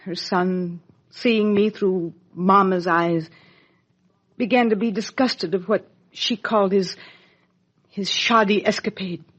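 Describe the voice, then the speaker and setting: A woman playing a regretful middle-aged actress confessing to her abandoned child in a 1950s radio soap, halting, earnest and dramatic, with a husky voice. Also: mid-range voice, steady tone, fairly bright tone. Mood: bitter. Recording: dull lo-fi 1950s radio recording.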